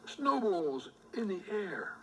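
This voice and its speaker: A man reading a children's storybook aloud in an expressive, scripted read-aloud style. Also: sits high, slow pace, low-quality recording. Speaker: storybook reader.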